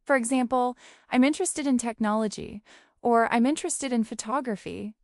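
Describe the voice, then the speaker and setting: A woman who is an English teacher, explaining a scripted lesson in a clear, measured way. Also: a little high, no echo, studio quality.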